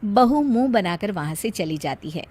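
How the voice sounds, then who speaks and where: A woman's voice: mid-range voice, animated, moderate pace, a little mellow, husky. A woman narrating a cartoon moral story in a plain, scripted storytelling manner.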